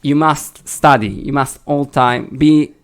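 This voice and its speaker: Creaky-voiced man with a slightly British-tinged Israeli accent. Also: medium pitch, slow. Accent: Hebrew-accented English with a slight British tinge. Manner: thoughtful, hesitant, restarts often. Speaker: podcast co-host. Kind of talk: casual discussion.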